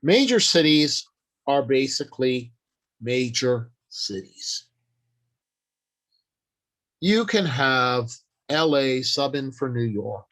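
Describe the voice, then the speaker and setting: An older man presenting an informal instructional talk in a halting, deliberate, explanatory way. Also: medium pitch. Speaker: workshop presenter.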